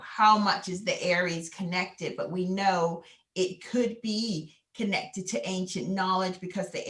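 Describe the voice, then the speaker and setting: A woman's voice: on the low side, fairly bright tone, roomy sound. A woman astrology consultant talking in a casual, rambling way, full of "you know" fillers. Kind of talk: casual video call chat.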